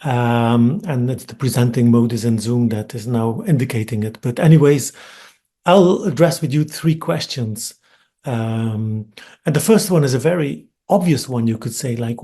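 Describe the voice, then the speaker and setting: A man with a Flemish Dutch accent gives a webinar talk in a dark, gravelly voice. He speaks thoughtfully, pausing on ums and restarting phrases as he searches for words. The sound comes through a computer mic on a video call. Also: mid-range voice. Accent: Dutch-speaking Belgian. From Belgium. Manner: thoughtful, hesitant with frequent ums and repeated words. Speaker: academic speaker. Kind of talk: webinar lecture.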